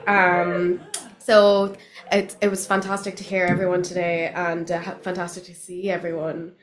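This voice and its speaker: A woman's voice: lowish voice, level tone, moderate pace. A woman with a Cork accent introducing herself at her first open mic, chatty and nervous with lots of fillers. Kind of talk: casual stage introduction.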